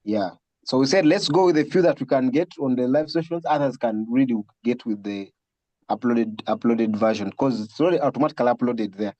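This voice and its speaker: Halting male online session host, dry sound. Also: mid-range voice, expressive, mellow, no echo, lo-fi sound. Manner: halting, repeats words and phrases. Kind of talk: casual group video-call chat. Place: online video call.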